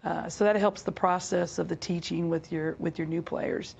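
A middle-aged woman basketball coach with a hoarse, raspy voice, answering interview questions over a video call with many halting fillers. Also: lowish voice, steady tone, a little mellow. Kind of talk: remote press interview. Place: office with team logo backdrop.

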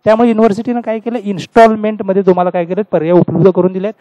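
A man's voice: high voice, level tone, racing, mellow, dry recording, highs cut off. A man talks students through an online admission process over a screen recording, repeating steps and asking himself questions as he goes. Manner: repetitive, rhetorical questions to students. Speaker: tutorial host explaining university admission steps.

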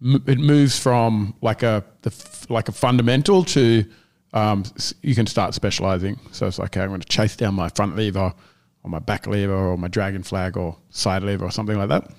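A man's voice: lowish voice, fairly even intonation, fairly quick, darkish tone. A man co-hosting a casual gym podcast, rambling and often restarting as he searches for words. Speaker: gym owner and podcast co-host.

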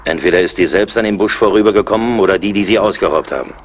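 A man's voice: low voice, quick pace, slight background noise, close to an everyday voice. A man acting a seasoned frontier scout in a German radio play, assured and wry. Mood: confident.

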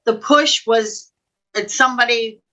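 Older woman, bright forceful voice. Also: a little high, unhurried, poor audio. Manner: chatty, digressive, quotes people as she tells stories. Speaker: guest storyteller. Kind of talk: casual anecdotal storytelling in a video chat. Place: home room, indoors. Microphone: video call.

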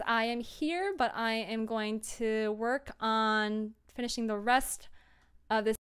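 A woman's voice: mid-range voice, fairly bright tone, clean tone. A young woman chatting casually on a livestream and answering viewers in a scattered, self-interrupting, informal way. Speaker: audio drama producer and livestreamer.

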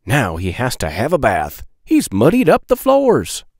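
A man in his thirties reading a children's storybook aloud with playful, expressive emphasis. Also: medium pitch, a little slow, no room sound, studio quality. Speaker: read-aloud host.

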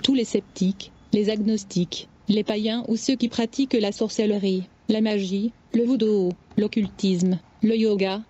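A woman reading a first-person testimony aloud as steady, measured scripted narration. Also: medium pitch, husky. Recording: dull lo-fi audio.

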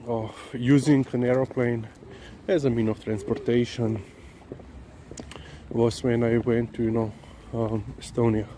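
A man talking haltingly on a dog walk outdoors, filling pauses with "you know" and "um". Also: medium pitch, level tone, dark tone. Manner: halting, many fillers and restarts. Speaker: dog-walking vlogger. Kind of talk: casual walk-and-talk monologue. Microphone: camera held low, walking. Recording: outdoor noise behind the voice.

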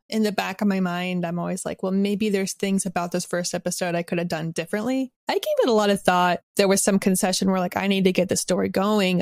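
A woman gives a casual interview in a mid-pitched voice. Her phrasing is informal and self-deprecating, full of small qualifiers. The sound is dry, with no room around it. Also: a little mellow, dry recording. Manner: informal and self-deprecating, hedging with frequent "like" and "kind of". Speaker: interview guest, audio drama writer.

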